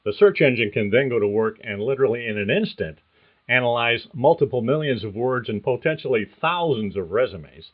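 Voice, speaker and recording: A man hosting a career podcast, reading a script in a measured, explanatory way. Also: medium pitch, narrow, tinny audio. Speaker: podcast host. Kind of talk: scripted narration.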